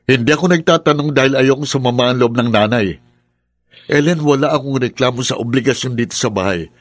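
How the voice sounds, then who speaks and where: An actor playing a dutiful, protective elder brother, earnest and firm, in a Tagalog radio drama. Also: male voice, mid-range voice, no echo, natural voice.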